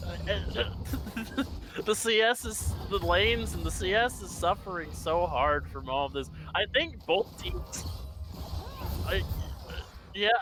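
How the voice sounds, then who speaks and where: A man's voice: sits high, slow, long pauses, music in the background. A man giving color commentary on a live esports match, hesitant and often laughing through fillers.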